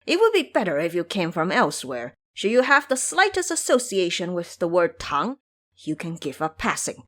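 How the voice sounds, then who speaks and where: A woman's voice: on the low side, wildly swooping pitch, normal speed, a bit bright. A woman voicing an exasperated male woodcutter in an audio drama, scolding and blunt with emphatic delivery. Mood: grim warning.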